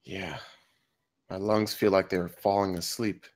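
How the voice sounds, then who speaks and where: A man acting an injured, trapped man in a film script table read, wry and blunt, speaking haltingly through pain. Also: low voice, fairly even intonation. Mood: weak, resigned. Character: injured trapped man, sardonic, shivering, stubbornly protective.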